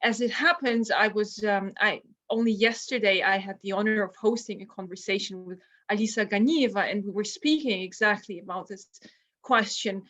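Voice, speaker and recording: A woman's voice: mid-range voice, moderate pace, fairly bright tone. A woman hosting a literary panel on a video call, earnest and thoughtful. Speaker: series host. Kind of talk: casual panel talk.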